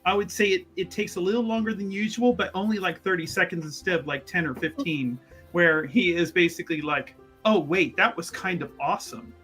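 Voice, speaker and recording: A man playing a tabletop roleplaying game, casually improvising his character's actions in the third person like an animated storyteller. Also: high-pitched, brisk, breathy edge, faint music behind.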